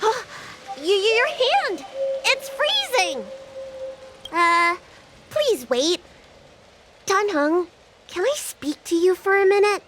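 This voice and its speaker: A woman playing a chatty, excitable young girl healer in a video game, kind and self-assured. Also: extremely high pitch, slow pace, long pauses, over music, heavily acted. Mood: flustered. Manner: exclamatory, flustered, chatty.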